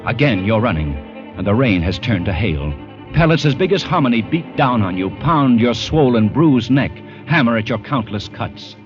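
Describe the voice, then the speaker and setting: A man's voice: medium pitch, sing-song, normal speed. A man giving tense, building second-person narration in an old radio drama. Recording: muffled old radio broadcast with music.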